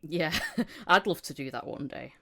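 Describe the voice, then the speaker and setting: A woman with a low-pitched voice hosts an informal podcast. She chats loosely and repeats her phrases to drive them home. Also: measured pace. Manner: chatty, repeats phrases for emphasis. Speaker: podcast host, voice actor. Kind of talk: casual podcast chat.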